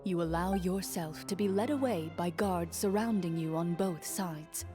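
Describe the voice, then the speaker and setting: A woman narrating a tabletop game as game master, calm and measured. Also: on the low side, music in the background, close to an everyday voice. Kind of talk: scripted narration.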